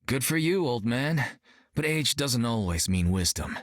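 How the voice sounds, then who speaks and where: A man's voice: mid-range voice, sing-song, measured pace, no room sound, studio quality. A young actor playing a brash, cocky male martial artist in a video game, joking and upbeat. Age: young adult.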